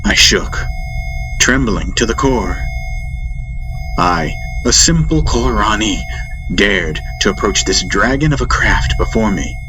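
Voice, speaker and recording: A man reading a dramatic first-person audiobook story in deliberate, theatrical phrases with weighty pauses. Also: fairly low pitch, lively intonation. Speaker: audiobook narrator. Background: atmospheric music soundtrack.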